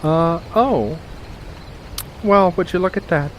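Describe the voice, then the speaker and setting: A man voicing a playful, mischievous furry witch of the woods in a soft-spoken, teasing ASMR roleplay, full of gentle endearments. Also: fairly high pitch, slow, slight background noise, poor audio. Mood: musing.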